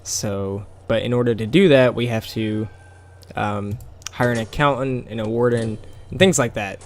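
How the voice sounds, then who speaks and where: Gravelly man with a scratchy, tired voice. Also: mid-range voice, moderate pace. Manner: rambling, with many fillers and small laughs. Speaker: gameplay commentator. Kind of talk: casual game commentary.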